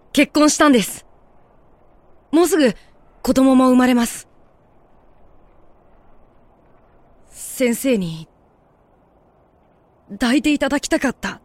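A gentle, earnest young man played by a woman in an audio drama, soft-spoken and polite. The voice is fairly high for the role, very slow and deliberate, recorded dry and close. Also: no room sound. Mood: solemn, tender.

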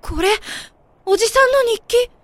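Very high-pitched, earnest girl voiced by a woman. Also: moderate pace, dry recording. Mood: puzzled, questioning. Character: earnest, inquisitive teenage girl. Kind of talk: audio drama acting.

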